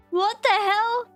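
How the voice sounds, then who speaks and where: High-voiced woman rambling on stream. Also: pushed and bright. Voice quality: cute, high-pitched voice. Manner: rambling and giggly, with many restarts and fillers. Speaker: VTuber streamer. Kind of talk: casual live-stream chat. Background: faint background music.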